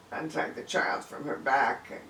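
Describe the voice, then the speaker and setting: A man's voice: medium pitch, takes it slowly, sharp tone. A man reading a folk tale aloud expressively, with dramatic, emphatic phrasing. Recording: distant, echoey room with faint noise.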